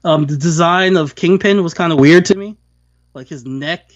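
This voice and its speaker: Higher-pitched man in dry, dull audio. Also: normal speed, dry recording. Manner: acts out imagined quotes while talking. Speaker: podcast co-host reviewing films. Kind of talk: casual opinionated review chat.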